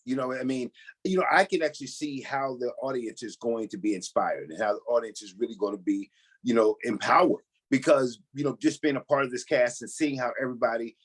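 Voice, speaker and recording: A man with a husky, rough-edged voice at an average pitch gives conversational interview answers. He is earnest and emphatic, restating his point as he builds it. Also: brisk. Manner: earnest, emphatic, leans on "you know". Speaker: pastor and cast member, interview guest.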